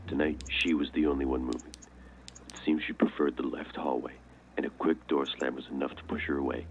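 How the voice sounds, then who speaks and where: A man playing a shaken, cynical night security guard in a game, muttering a weary audio log on a muffled, noisy recorder. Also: rumbling low. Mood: nervous, resolute.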